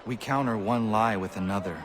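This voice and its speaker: An actor playing an earnest, blunt young warrior in a video game, solemn and plain-spoken. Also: male voice, on the low side, fairly quick, a crowd in the background, natural voice. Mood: troubled.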